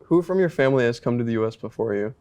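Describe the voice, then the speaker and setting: A teenage boy reads interview questions quickly, starting most of them with "uh." His voice sits at a middle pitch. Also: expressive, warm tone, smooth. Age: teens. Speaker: student interviewer.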